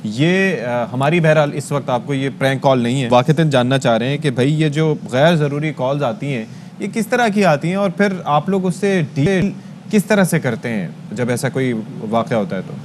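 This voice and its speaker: A man's voice: medium pitch, expressive, moderate pace, a little hiss or hum. A man with a Pakistani accent co-hosting a news show and interviewing in a conversational broadcast style.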